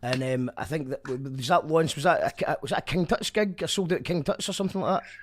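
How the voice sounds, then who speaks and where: A man's voice: fairly high pitch, fast, background noise. A Scottish man hosting a casual radio chat, chatty and friendly. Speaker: radio show host.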